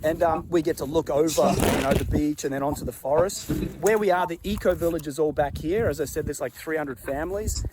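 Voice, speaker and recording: A man with an Australian accent chats casually as he walks outdoors around a farm, slipping "uh" and "like" in everywhere. His voice sits a little high for a man. Loud outdoor noise runs behind him the whole time. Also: brisk. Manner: relaxed and chatty, with plenty of "uh" and "like". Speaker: vlogger. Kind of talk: casual walk-and-talk vlog.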